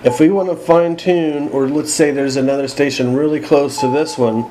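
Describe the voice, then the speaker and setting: A man's voice: fairly high pitch, steady tone, normal speed, background noise. A man giving a casual, unscripted radio gear walkthrough, thinking aloud in a hesitant, self-deprecating way. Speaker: radio hobbyist presenting a gear demonstration.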